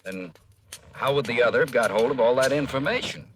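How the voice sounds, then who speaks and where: An actor playing a hospitable, concerned friend of a detective in a 1950s-style radio drama. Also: male voice, medium pitch, a little fast, lightly acted. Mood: puzzled. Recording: noisy old radio recording, clicks.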